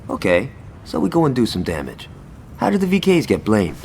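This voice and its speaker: Brash, lively low-voiced gangster. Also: male voice, a little fast, slight background noise. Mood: grudging, wry. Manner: wisecracking, brash. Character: cocky, foul-mouthed, violence-loving male gangster. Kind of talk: video game cutscene acting.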